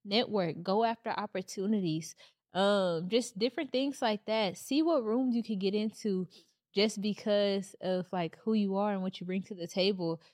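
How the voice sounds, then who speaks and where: A woman's voice: mid-range voice, moderate pace, no echo. Emphatic woman urging listeners on. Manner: emphatic, motivational, animated storytelling. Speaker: podcast co-host. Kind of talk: casual podcast chat.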